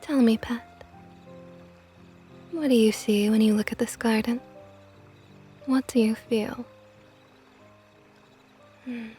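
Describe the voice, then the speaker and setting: A woman voicing a possessive, flirtatious female vampire knight in an ASMR roleplay, talking softly and often in a whisper with a teasing tone. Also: a little high, fairly even intonation, slow, pauses often, soft background music. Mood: probing intimate.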